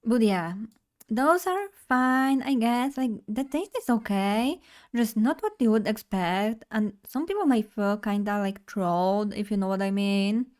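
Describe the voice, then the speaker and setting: A woman's voice: fairly high pitch, a little slow, a little mellow. Young woman, bubbly casual streamer talking to chat. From Poland. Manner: bubbly, chatty, addresses chat directly. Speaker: vtuber streamer.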